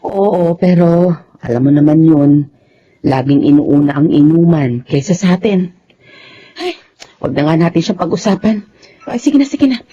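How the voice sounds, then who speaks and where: A woman playing a gentle, poor, long-suffering mother with a Filipino accent in a radio drama. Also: on the low side, animated, moderate pace, long pauses, a little hiss or hum, close to an everyday voice. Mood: tender, weary. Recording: dull lo-fi radio drama audio.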